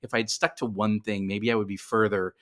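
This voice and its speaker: Middle-aged guy, lowish voice, casual podcast guest chat, pokes fun at himself. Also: little pitch movement, a little fast, fairly bright tone. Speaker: guest, writer.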